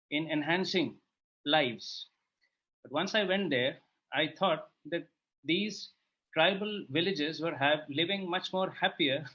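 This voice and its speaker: A man's voice: a little high, fairly bright tone. A man with an Indian accent sharing reflections as a participant in an online discussion, with dull lo-fi sound. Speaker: panel participant. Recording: dull lo-fi online call audio.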